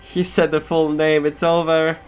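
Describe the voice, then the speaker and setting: A man's voice: fairly high pitch, flat delivery, a little slow. A man giving casual reaction commentary as he plays through a game. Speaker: game streamer. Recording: game music behind the voice.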